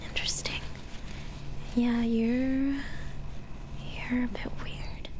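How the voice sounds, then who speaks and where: A woman playing a gentle, curious forest witch in an ASMR roleplay, with a soft breathy whisper and a slow, hesitant, soothing way of talking. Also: on the high side, level tone. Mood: amused, curious.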